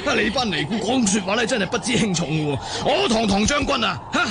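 An actor playing a pompous, clumsy army general in a radio drama, bellowing and whining with self-importance. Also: male voice, high voice, big pitch swings, fast talker. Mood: boastful warning. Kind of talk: Cantonese radio drama acting. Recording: clearly noisy radio drama soundtrack.

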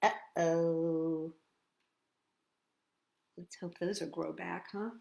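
A woman's voice: on the low side, fairly even intonation, extremely slow, gravelly, a little mellow, echoey room, a little hiss or hum. A woman hosting storytime for young children, reading a picture book expressively and addressing the children directly with questions. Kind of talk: picture-book read-aloud for young children with asides and sing-song greetings.

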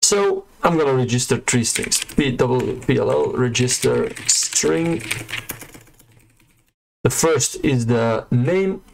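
Guy walking thru a coding tutorial, relaxed, lots of uh and um, mid pitch, keyboard clacking. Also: takes it slowly, vocal fry, slight background noise, rough recording. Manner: casual, many fillers and restarts. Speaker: web developer tutor. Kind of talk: screen-recorded coding tutorial.

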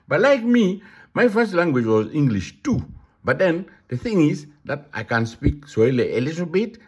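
A man's voice: on the high side, a little mellow, slightly rough. A man with a Ugandan accent speaking in a casual monologue, emphatic and given to repeating himself. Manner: repetitive, emphatic. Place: London.

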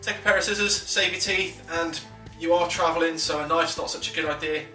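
A man, giving a chatty, enthusiastic fishing tackle tutorial at a table at home, with filler words and quick restarts. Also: a little high, fairly even intonation. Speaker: fishing instructor. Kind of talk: casual tackle tutorial to camera. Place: living room at a wooden table. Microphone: camera a few feet away. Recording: roomy, slightly distant, faint music.

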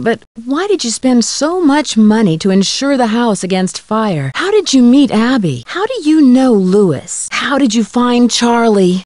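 Game sleuth: young, curious, courteous, highish animated voice, dry studio. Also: female voice, no room sound. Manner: polite, inquisitive. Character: polite, inquisitive young female amateur detective. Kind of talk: video game voice acting.

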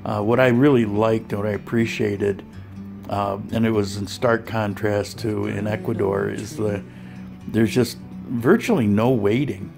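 A man narrating his vlog as a voice-over, relaxed and conversational, with frequent fillers and restarts. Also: on the low side, a little slow, vocal fry, music in the background. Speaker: vlogger. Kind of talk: voice-over narration of a clinic visit.

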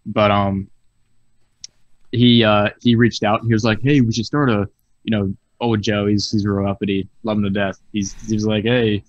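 A man's voice: lowish voice, level tone, normal speed, dry recording. Teen guy on a dull lo-fi call. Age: teens. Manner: casual, swears freely, stumbling restarts. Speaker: guest. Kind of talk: casual chat. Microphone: video call. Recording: dull lo-fi video call audio.

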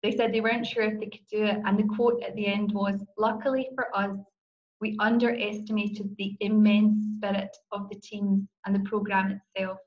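A woman's voice: mid-range voice, little pitch movement, moderate pace, lo-fi sound. Scottish woman speaking on a video call. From Scotland. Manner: enthusiastic, personal, chatty. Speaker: law professor and moot court coach. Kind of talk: conference panel talk on video call.

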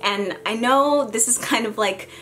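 A young woman with a raspy, sick voice chatting casually about her figure collection, with lots of ums and self-mocking asides, filmed at home at desk distance. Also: fairly high pitch, measured pace, bright, forceful tone, slight background noise. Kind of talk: casual unboxing chat. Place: home room with figure shelves. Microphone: camera at desk distance.

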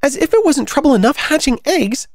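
A woman's voice: high-pitched, big pitch swings, a little fast, darkish tone, dry recording, studio quality, voice pushed up. A woman voicing a frantic, scolding mother pigeon from a storybook, with desperate, shrieking complaints. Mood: shrill, exasperated. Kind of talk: storybook character voice.

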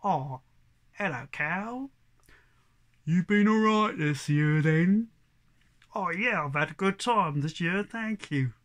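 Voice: medium pitch, lively intonation, slow pace, pauses often, a bit bright. A storyteller doing a blunt rustic donkey. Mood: friendly. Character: plain-spoken rustic farm donkey, loyal, disapproving of idlers. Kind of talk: folk tale character in oral storytelling.

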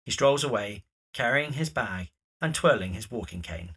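A man narrates an audio drama's scenes from a script. His bright voice sits at a middling pitch and swoops expressively through each stage direction. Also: lively intonation, normal speed, slightly rough.

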